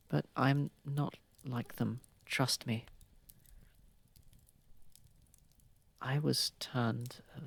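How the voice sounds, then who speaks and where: A man voicing a gentle, courtly old vampire in an ASMR roleplay, speaking softly and tenderly, often close to a whisper. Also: medium pitch, dry recording. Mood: grave, earnest. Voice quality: soft, breathy, slightly gravelly, often near whisper. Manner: tender, polite, unhurried, soothing. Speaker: ASMR voice actor. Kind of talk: ASMR roleplay, soft intimate acting. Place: close, dry recording. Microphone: close microphone.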